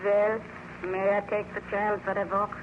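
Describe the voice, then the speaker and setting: An actress playing a strict, grim nursemaid who speaks formally and deferentially in an old radio drama style. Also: female voice, mid-range voice, flat delivery, normal speed, close to an everyday voice. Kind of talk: 1930s radio drama acting. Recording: muffled, noisy old-time radio recording.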